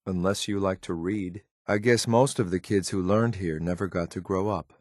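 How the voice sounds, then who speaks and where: An actor playing a grim, cold synthetic soldier in a video game, speaking in a clipped, deadpan, disdainful way. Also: male voice, deep voice, normal speed, dry recording, studio quality. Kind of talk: scripted video game companion lines.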